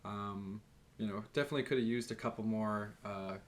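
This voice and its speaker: A man's voice: fairly low pitch, fairly even intonation, fairly quick. A man co-hosting a casual film discussion in loose, hesitant phrases padded with filler words. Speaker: podcast co-host.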